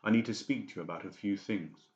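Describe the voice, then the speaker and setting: Guy doing a stern boss doctor in an audiobook, low voice, in charge, echoey room. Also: fast, darkish tone, lo-fi sound, close to an everyday voice. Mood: conciliatory. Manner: stern, authoritative. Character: stern, commanding senior male doctor in charge.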